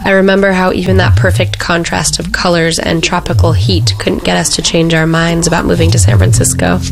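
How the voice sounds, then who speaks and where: A woman's voice: fairly low pitch, creaky. A woman reading an intimate, reflective poetic narration. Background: music.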